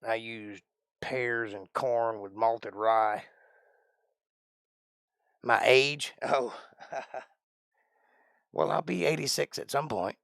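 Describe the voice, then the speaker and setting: A man's voice: mid-range voice, steady tone, slow, gravelly, pauses often, a bit bright, no echo, clean studio sound. A man in his forties hosting a live stream, chatting casually in a rambling, folksy way as he thinks aloud and answers his chat. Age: 40s.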